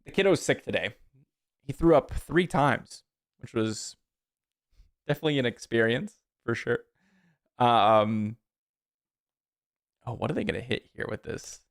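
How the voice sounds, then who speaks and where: A man's voice: medium pitch, expressive, unhurried, gravelly, long pauses. A man in his thirties streaming a card game, casually chatting with viewers and thinking aloud about his plays. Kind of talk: casual live-stream chat while playing.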